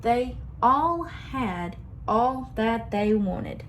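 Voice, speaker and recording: A young woman teacher reading a picture book aloud to her class in a slow, expressive storytelling manner. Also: a little high, slight background noise.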